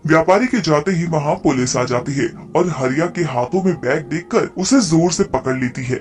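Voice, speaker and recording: A man's voice: a little high, normal speed, soft background music. A man narrating a scripted folk story as the narrator.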